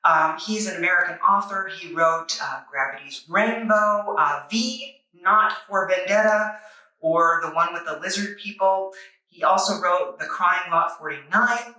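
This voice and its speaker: Female reviewer, bright and wry, recorded in a very echoey room a bit away from the mic. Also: fairly low pitch, measured pace. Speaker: comic book reviewer, video host. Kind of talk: semi-scripted review narration. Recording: echoey room, distant microphone.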